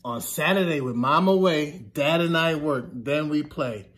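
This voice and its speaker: A man in his thirties, a teacher reading a children's picture book aloud in a deliberate sing-song way, stressing repeated words. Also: fairly high pitch, a bit bright. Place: classroom, indoors.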